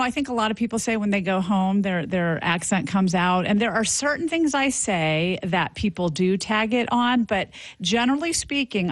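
Woman in her 50s, enthusiastic expert guest, mid pitch, dry close mic. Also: dry recording. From Memphis, Tennessee. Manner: bright, enthusiastic, explanatory. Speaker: linguistics professor guest.

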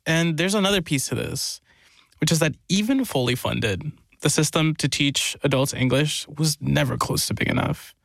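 A man's voice: on the high side, moderate pace, vocal fry, fairly bright tone, dry recording. A man reporting on a conversational news podcast, explaining things in a lightly amused way.

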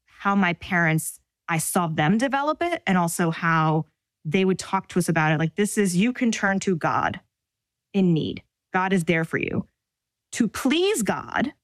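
A woman's voice: fairly low pitch, no echo. Thoughtful woman with a dry close voice. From Staten Island, New York. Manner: thoughtful, measured, in short phrases with pauses. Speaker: interviewee. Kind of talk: reflective personal storytelling.

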